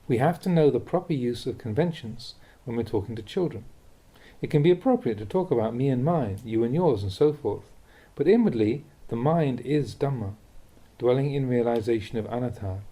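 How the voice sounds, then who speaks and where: A man reading a Buddhist teaching aloud as instructive narration, with measured, deliberate phrasing. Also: medium pitch, mellow. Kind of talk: read-aloud dharma talk, instructive narration.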